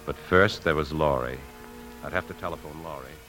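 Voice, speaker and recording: An American actor playing a scheming, secretive married bank man in a 1950s radio drama, brooding and deliberate. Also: rumbling low, fairly bright tone, soft background music. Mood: brooding, obsessed. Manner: brooding, deliberate, hesitant when lying. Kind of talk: 1950s radio drama acting and confessional narration. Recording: 1950s radio broadcast recording.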